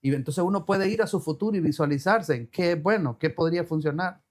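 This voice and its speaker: A man's voice: a little high, fairly quick. A man being interviewed as an entrepreneur, talking earnestly, repeating phrases and quoting the Bible. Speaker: guest entrepreneur. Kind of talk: casual chat with preacherly flourishes.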